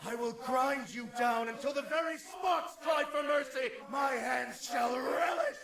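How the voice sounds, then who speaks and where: An actor playing a wrathful, righteous angelic warrior in a video game, with a gravelly voice, echoing reverb and grand archaic declamation. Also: male voice, very high voice, unhurried, shouting, over a noisy crowd, heavy background noise, full character voice. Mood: furious, exalted. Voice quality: raspy, gravelly. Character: wrathful righteous male holy warrior, zealous avenging angel. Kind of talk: dramatic video game villain monologue.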